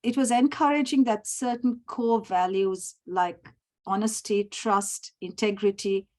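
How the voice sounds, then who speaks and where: A middle-aged woman from Sri Lanka, giving a formal prepared presentation over a video call. She is measured and often clears her throat. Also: mid-range voice, a little mellow. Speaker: summit presenter.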